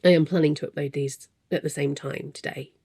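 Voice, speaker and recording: Woman, host, stuffy with a cold, low-pitched and gravelly, casual rambling chat at her home desk mic. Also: fairly even intonation, fairly quick, warm tone, no echo, clean studio sound. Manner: rambling, self-deprecating, chuckles between thoughts. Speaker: crafting podcast host. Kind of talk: casual chatty monologue. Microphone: desk microphone.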